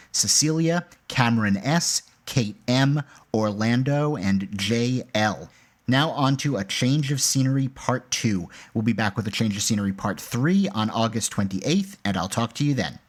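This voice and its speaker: A man hosting a podcast, reading a show announcement in an earnest, measured way. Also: mid-range voice, animated. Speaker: podcast host.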